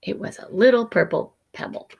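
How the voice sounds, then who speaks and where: A woman teacher narrating a children's picture book expressively, measured and dramatic, often dropping to hushed tones. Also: medium pitch, creaky, dull audio, slight background noise.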